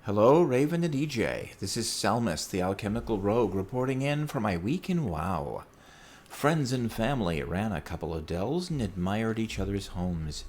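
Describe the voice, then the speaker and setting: A man's voice: lowish voice, very animated, moderate pace, a little mellow. A man giving a prepared, playful report as a listener contributing to a gaming podcast, with some noise behind him. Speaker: listener sending in a recorded report. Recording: noticeable background noise.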